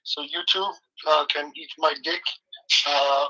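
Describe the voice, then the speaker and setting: A man with a very bright, sharp voice, pitched somewhat high, who speaks in halting, broken English. He delivers deadpan jokes and slow, deliberate phrases while acting as a guest co-host and leading listeners in meditation. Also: rough recording. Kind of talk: rambling comic chat turning to guided meditation.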